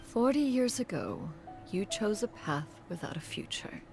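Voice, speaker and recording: A woman's voice: medium pitch, very animated, unhurried, vocal fry. A woman voicing an ancient, aloof sage in a video game, speaking formally and with dignity. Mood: solemn. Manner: formal, stately, speaks of self as "one". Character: ancient aloof adeptus crane woman, dignified, sagely master. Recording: music behind the voice. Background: music.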